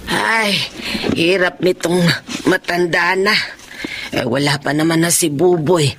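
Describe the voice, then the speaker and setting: A woman's voice: on the low side, steady tone, a little slow, fairly bright tone, slight background noise. An actress playing an aging, kind foster mother in a radio drama, fussy and tender, with sighs over her sore back. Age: older.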